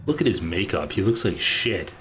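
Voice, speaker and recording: Deep-voiced profane mocking man. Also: very animated, moderate pace, a bit bright, faint music behind. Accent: Midwestern American. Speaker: political livestream host. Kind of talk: casual livestream commentary.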